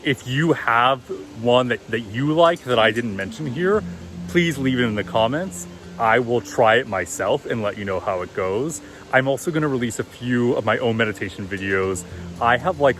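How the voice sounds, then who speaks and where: A man with a deep voice giving relaxed, encouraging meditation advice in a casual vlog. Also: soft background music. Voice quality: deep, low voice. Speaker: vlogger and meditation coach. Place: outdoors at a loud waterfall. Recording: outdoor recording with loud waterfall noise. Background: rushing waterfall.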